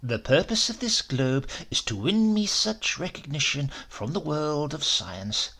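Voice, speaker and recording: Man acting a grandiose, gravel-edged mad professor. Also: on the high side, expressive, pushed and bright, heavily acted. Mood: grim enthusiasm. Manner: grandiose, intense, deliberate. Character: grim, obsessed male Italian professor of crank science. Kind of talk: dramatised horror audiobook.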